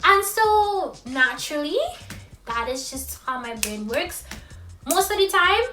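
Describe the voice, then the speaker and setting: High bright-voiced Trinidadian woman. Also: roomy sound, recorded from a distance, soft background music. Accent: Trinidadian with American influence. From Trinidad and Tobago. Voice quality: high-pitched youthful voice. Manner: expressive, lively, quick when excited, laughs often. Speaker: vlogger. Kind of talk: casual talk to camera.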